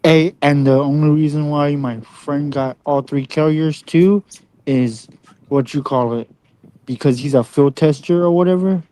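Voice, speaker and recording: A man with a somewhat high voice cuts into a casual live stream chat. He speaks loudly and jokes around, throwing in quick remarks. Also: steady tone.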